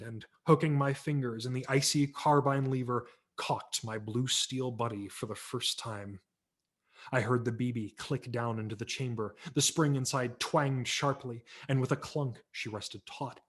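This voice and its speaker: Expressive male audiobook narrator. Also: mid-range voice. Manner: vivid, expressive storytelling. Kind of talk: dramatic reading of a humorous memoir. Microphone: video call.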